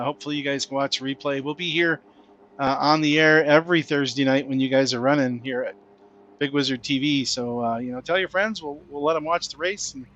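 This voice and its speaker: A man's voice: on the high side, fairly bright tone, muffled recording. A man hosting a sim-racing broadcast, interviewing drivers after the race in an easygoing way with fillers. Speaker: race commentator host.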